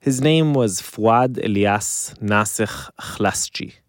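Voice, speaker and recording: A man hosting a podcast, narrating in a calm, measured way. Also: fairly low pitch, dry recording, studio quality. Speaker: podcast host. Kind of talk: scripted narration.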